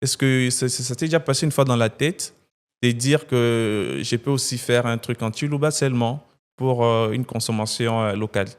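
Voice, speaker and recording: A man's voice: medium pitch, normal speed, clean tone. A man interviewing a guest, asking long, rambling questions full of fillers and restarts.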